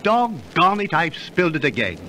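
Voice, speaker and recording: An actor playing a casual, superstitious diner in an old-time radio sketch. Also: male voice, on the high side, very animated, normal speed. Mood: exasperated. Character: superstitious male diner, casual skeptical companion. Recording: faintly noisy old radio recording.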